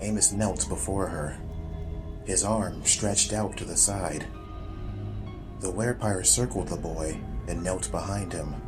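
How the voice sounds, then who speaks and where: A man narrating a scripted audiobook story in a measured, deliberate, dramatic storytelling style. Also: low-pitched.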